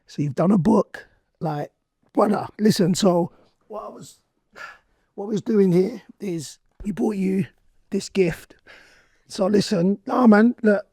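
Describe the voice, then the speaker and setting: A man hosting a casual interview podcast, his voice hoarse and nearly gone, talking in excitable slang. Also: sits high, a little slow, pauses often, no room sound, studio quality. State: losing his voice. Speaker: podcast host.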